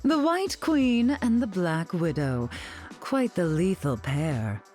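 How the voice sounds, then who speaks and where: A woman's voice: fairly low pitch, big pitch swings, slow pace, a little mellow, faint music behind. A woman playing a haughty, glamorous, sardonic society queen in a video game, imperious and arch in manner. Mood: haughty, sly. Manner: imperious, arch, drawling endearments. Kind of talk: video game character dialogue.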